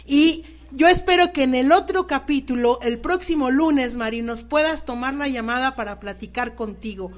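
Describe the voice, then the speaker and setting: A woman's voice: high voice, moderate pace, few pauses. A woman with a Mexican accent hosting a live radio talk show, addressing guests and listeners.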